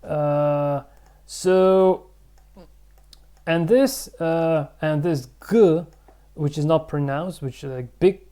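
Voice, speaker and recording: A man's voice: a little high, extremely slow, long pauses, mellow, clear, resonant tone. A man hosting his own channel, rambling and thinking aloud in a casual explanatory vlog, with many fillers. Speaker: channel host.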